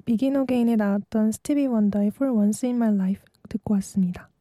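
A twenty-year-old woman hosting a late-night radio segment, reading a calm, reflective script. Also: mid-range voice, moderate pace, no echo, soft background music.

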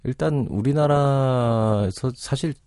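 A man's voice: medium pitch, slow pace, dark tone, clean tone, dry recording, studio quality. A middle-aged man speaking as a psychiatrist guest in a conversational radio interview, reflective and explanatory, often telling anecdotes. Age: 40s or older.

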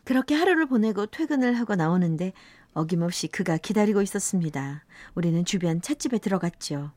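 A woman's voice: on the low side, expressive, a little fast, a little mellow, no echo. A woman playing a wistful narrator in her fifties, telling a sentimental first-love story for a radio drama. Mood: wistful, reflective. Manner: sentimental, reflective.

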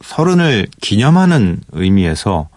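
Man, radio host, low and unhurried, dry studio sound, thoughtful late-night talk. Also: no room sound. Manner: relaxed, thoughtful, with pauses and fillers. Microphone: studio microphone.

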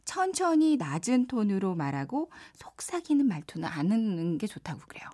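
Lively woman explaining on the radio. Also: mid-range voice, normal speed, dry recording. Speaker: radio co-host presenting health information. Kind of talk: scripted informational segment in a radio chat.

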